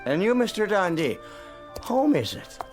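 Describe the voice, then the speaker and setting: A man's voice: high voice, sing-song, a little slow, music in the background. An actor playing a genial, tipsy policeman in a radio drama. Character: genial, tipsy Irish policeman heading home.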